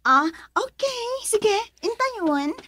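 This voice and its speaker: High-pitched, pouty young Ilocano woman. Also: very animated, slow pace, a bit bright, dry recording, exaggerated voice. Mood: gushing, coy. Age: young adult. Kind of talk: Filipino radio drama acting.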